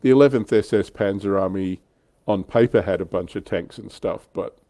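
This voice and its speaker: Low-pitched, mellow man explaining casually. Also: normal speed, no echo. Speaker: livestream host. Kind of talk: casual explanatory history chat.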